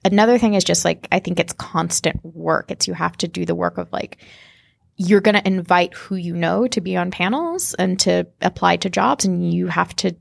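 A woman's voice: lowish voice, normal speed, creaky, no room sound, studio quality. A woman on a panel speaking frankly, with many casual fillers. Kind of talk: panel discussion.

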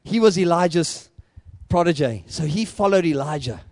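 A middle-aged man with a South African accent, an Afrikaans speaker, preaching a sermon with repetitive, building rhetoric that surges to loud emphatic peaks. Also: a little high, animated, normal speed, no echo. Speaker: pastor.

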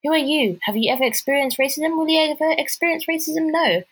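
A woman's voice: high voice, fast, a bit bright. A young woman hosting a casual podcast chat, speaking emphatically and firing off rhetorical questions. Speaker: podcast host.

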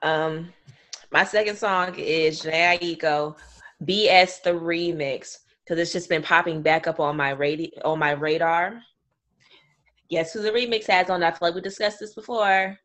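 A young woman from Grand Rapids co-hosting a podcast in casual chat, her voice going hoarse. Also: fairly low pitch. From Grand Rapids, Michigan. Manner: animated, laughing, slangy. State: losing her voice. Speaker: podcast co-host.

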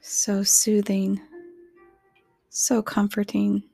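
A woman narrates a guided meditation in a soft, soothing voice. She speaks very slowly and evenly, with little movement in pitch. Her delivery is measured and instructional, recorded close and dry. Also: monotone, creaky, no room sound, soft background music.

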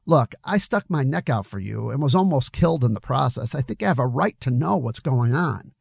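A man's voice: medium pitch, expressive, a little fast, vocal fry, no echo, studio quality. A man playing a good-hearted, concerned young man with an earnest, plain-spoken manner in an audiobook story. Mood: frustrated.

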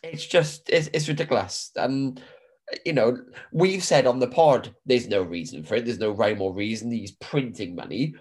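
A middle-aged British man co-hosts a podcast while stuffed up with hay fever. He chats loosely and swears now and then, with a voice of ordinary pitch. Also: normal speed, darkish tone, slightly rough. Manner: chatty, swears casually, says "bro" and "mate". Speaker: podcast co-host. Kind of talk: casual chat.

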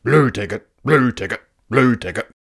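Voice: mid-range voice, lively intonation, moderate pace, creaky, husky. A performer voicing a grumpy, taunting male monster who hands out tickets in a children's storybook. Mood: eager.

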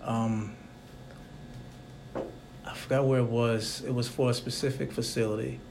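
A man's voice: mid-range voice, level tone, unhurried, creaky, noisy background. A young man hosting a casual interview, talking in a rambling, reflective way. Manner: rambling, reflective, soft-spoken.

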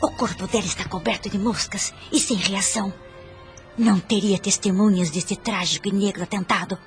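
A woman's voice: medium pitch, fairly even intonation, normal speed, faint music behind, natural voice. An actress playing a determined, impassioned young noblewoman in a Brazilian radio drama, with a theatrical, declamatory delivery and a Brazilian accent. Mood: scheming.

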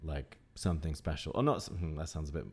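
A man's voice: a bass voice, fast talker, darkish tone, slightly rough. A man in his late twenties talking reflectively in a casual chat, rambling a little and laughing often. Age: 20s.

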